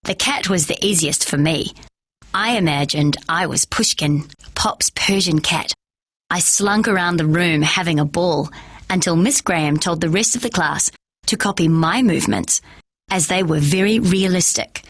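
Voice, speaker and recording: Woman reading a storybook out loud, breathy low voice, steady and even. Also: moderate pace, no echo. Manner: steady first-person storybook narration. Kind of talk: reading a children's novel aloud.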